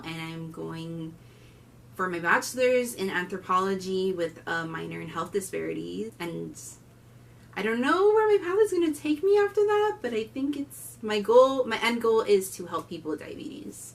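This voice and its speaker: A woman in her twenties from Miami with a Miami accent, talking candidly to camera on her vlog with loud bursts. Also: mid-range voice, creaky, recorded from a distance, slight background noise. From Miami, Florida. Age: 20s. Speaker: vlogger. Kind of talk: casual talk to camera.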